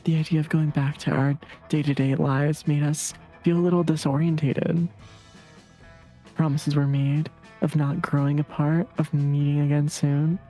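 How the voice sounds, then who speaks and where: A woman's voice: deep voice, little pitch movement, normal speed, dark tone, faint music behind. A woman streamer chatting casually and reading game text aloud on a livestream.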